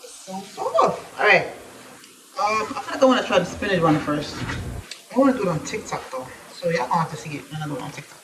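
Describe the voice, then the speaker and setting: A woman's voice: on the low side, fast talker, a crowd in the background, low-quality recording. A woman, chatting casually to her viewers at home. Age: 30s. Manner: chatty and animated, laughs often, talks to viewers directly. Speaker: vlogger. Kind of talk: casual vlog chat to camera. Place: at home in an apartment.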